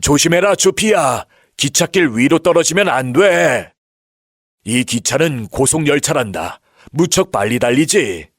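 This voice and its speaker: A man voicing a kindly old grandfather in a children's storybook, gentle and patient as he explains things. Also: fairly high pitch, animated, measured pace, dry recording, clean studio sound. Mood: cautioning.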